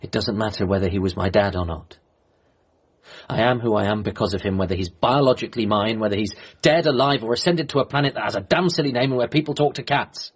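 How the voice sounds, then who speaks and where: A man's voice: on the low side, fast talker, husky, no room sound, lo-fi sound. A man acting an agitated, stammering, grieving son who is convinced of an alien conspiracy in a radio comedy drama. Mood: subdued, resolute. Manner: stammering, insistent.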